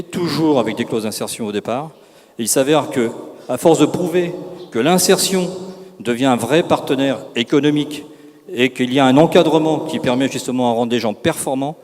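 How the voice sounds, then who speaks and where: A man's voice: mid-range voice, darkish tone. A man giving a semi-improvised seminar presentation as an organisation's representative, emphatic and insistent, sometimes searching for his words. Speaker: representative of a work-integration company speaking at a seminar. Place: large reverberant room at a seminar. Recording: echoey hall with long reverb.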